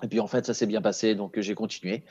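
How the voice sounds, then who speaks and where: A man's voice: lowish voice, steady tone, quick pace, a little mellow, breathy edge, dry recording. A man in his thirties, a comedian guest, chatting easily over a video call from home, laughing often. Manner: easygoing, laughs often, many fillers. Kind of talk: relaxed interview chat. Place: small room at home. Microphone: earphone headset on video call. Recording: slightly dull call audio.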